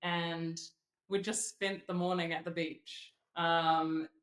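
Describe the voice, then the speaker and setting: A woman's voice: lowish voice, level tone, takes it slowly. Giggly New Zealand woman, roomy echo. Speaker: guest, opera singer and model. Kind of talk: casual interview chat.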